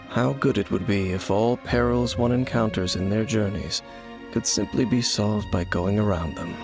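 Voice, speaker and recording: A man playing a solemn, world-weary knight in a video game, speaking in a measured, reserved, formal way. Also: fairly low pitch, creaky. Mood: weary, wistful. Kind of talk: video game dialogue acting. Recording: voice over game music.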